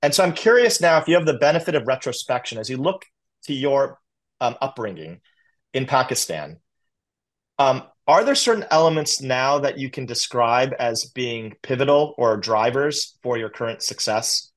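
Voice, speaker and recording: A man moderating a fireside chat, working his way haltingly through long, wordy questions. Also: mid-range voice, expressive. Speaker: interview host, moderator.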